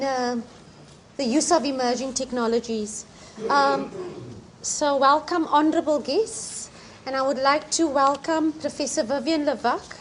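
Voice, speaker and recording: A woman introducing the keynote speaker at a university event, informal and slightly halting. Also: sits high, slight background noise. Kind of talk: welcome and introduction.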